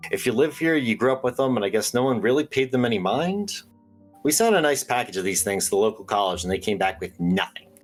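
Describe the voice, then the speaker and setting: An actor playing an earnest small-town radio host who speaks in a conspiratorial, hesitant way with dry asides. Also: male voice, mid-range voice, sing-song, fast, faint music behind. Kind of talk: audio drama radio call-in hosting.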